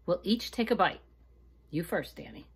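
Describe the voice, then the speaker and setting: A woman's voice: fairly low pitch, lively intonation, moderate pace, breathy edge. A woman voicing an anxious, dramatic young girl in a storybook, crying out urgently. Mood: bossy, urgent.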